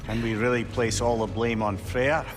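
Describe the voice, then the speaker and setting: An actor playing a shrewd, worldly older adviser in a video game, candid and colloquial. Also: male voice, mid-range voice, little pitch movement, normal speed, heavy background noise, lightly acted. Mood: candid, reasoning. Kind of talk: dramatic video game acting.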